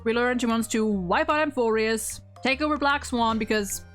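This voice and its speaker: Higher-pitched woman chatting, music behind. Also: normal speed. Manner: casual swearing, emphatic and opinionated. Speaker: host of a game story ranking show. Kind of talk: casual chat about a video game.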